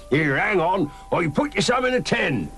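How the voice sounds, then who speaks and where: A man's voice: high voice, very animated, takes it slowly, fairly bright tone, faint music behind, voice pushed up, full character voice. A man reading a children's story aloud, voicing a hearty old male sailor who chuckles and draws out his words. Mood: amused.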